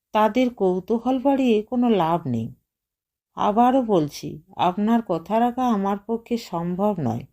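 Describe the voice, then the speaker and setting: A woman narrator plays a nervous gentleman in an audio short story. He is courteous but flustered and hesitant, protesting in a mellow, unhurried voice. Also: medium pitch.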